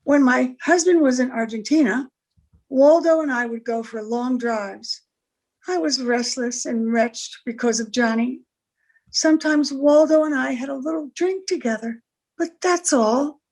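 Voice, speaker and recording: Woman acting a high-voiced, wistful married woman. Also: a little slow, darkish tone, low-quality recording. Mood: wistful, regretful. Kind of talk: old-time radio drama acting.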